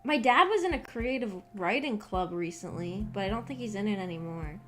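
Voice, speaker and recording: A woman's voice: mid-range voice, animated, a little fast, a bit bright. Rambling young woman streamer, casual chat. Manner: rambling, hesitant, informal. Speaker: VTuber streamer. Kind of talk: casual livestream chat with viewers. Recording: background music under the voice. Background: background music.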